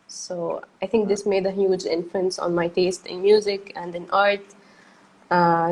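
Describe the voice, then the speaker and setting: A soft-spoken woman from Egypt, who talks through a casual video-call interview and fills her pauses with "um" and "uh". Her voice sits at an ordinary middle pitch. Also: low-quality recording. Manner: soft-spoken, hesitant, many fillers, laughs easily. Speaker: guest artist.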